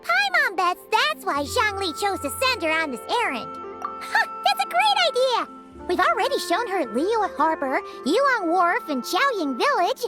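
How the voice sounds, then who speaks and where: Tiny squeaky fairy companion, over playful music. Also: female voice, huge pitch swings, unhurried, full character voice. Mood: bubbly, excited. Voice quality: squeaky, childlike. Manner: speaks of herself in third person, exclaiming. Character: small squeaky excitable childlike floating fairy companion, female. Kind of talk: anime-style video game voice acting. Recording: music behind the voice.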